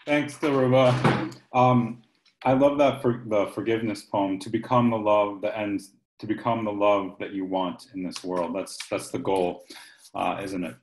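A man's voice: lowish voice, level tone, a little slow, slight background noise. Man around forty, event host, informal talk, echoey kitchen, video call. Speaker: host of a poetry reading. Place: home kitchen. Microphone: computer microphone on a video call.

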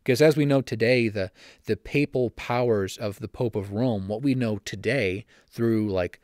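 A young man hosting a solo church-history podcast from home, slightly raspy and talking fast with frequent self-corrections. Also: fairly low pitch, darkish tone, dry recording, studio quality. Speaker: podcast host. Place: home room.

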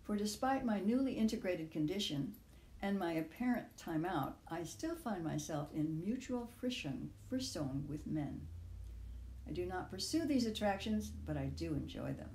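Older woman, mellow voice in a roomy space. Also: on the low side, expressive, moderate pace, slight background noise. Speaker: author reading own essay. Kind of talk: scripted personal essay reading.

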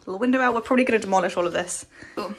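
A woman's voice: medium pitch, very fast, creaky, slight background noise. Chatty young woman vlogging on phone. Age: young adult. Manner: chatty, candid, talks while walking around. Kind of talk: casual vlog narration. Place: empty house indoors, then outdoors on a street. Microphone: phone at arm's length.

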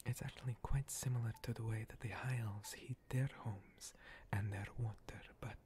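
A man playing a gentle, long-lived monster cleric in a whispered ASMR roleplay, breathy, courteous and a little hesitant, with formal phrasing. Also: lowish voice, fairly even intonation, moderate pace, a little hiss or hum, lightly acted. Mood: gentle, hospitable.

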